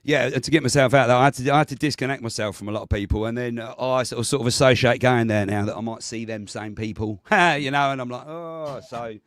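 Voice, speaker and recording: A man chats over a video call in a loose, rambling way, laughing as he goes. His voice is still a little rough and he keeps clearing his throat. The sound is very dry, with no room in it. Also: medium pitch, fast, few pauses, a bit bright, no echo. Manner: rambling, laughs often, restarts sentences. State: voice recovering, clears throat often. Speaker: guest with local knowledge. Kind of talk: casual chat on a video call.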